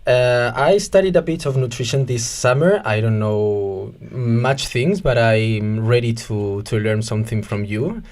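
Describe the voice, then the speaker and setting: A man's voice: mid-range voice, measured pace, hardly any pauses, darkish tone. A young man from Spain with a mid-range voice talks casually on a volunteer radio show. He hesitates often and slips in playful jokes.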